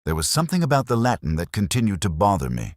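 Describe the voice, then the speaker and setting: A man playing a brooding, erudite detective inspector, narrating his case in a measured, literary and wry manner. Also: fairly low pitch, wildly swooping pitch, no room sound, clean studio sound. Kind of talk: scripted first-person mystery narration.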